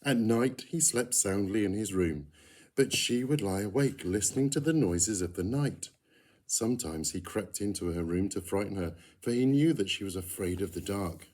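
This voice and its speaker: A man reading a picture book aloud in an expressive storytelling style. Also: lowish voice, moderate pace. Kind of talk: expressive children's story narration.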